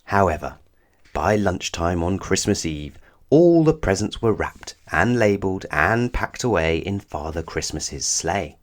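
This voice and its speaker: A man reading a children's storybook aloud as narrator, with expressive, measured phrasing. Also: deep voice.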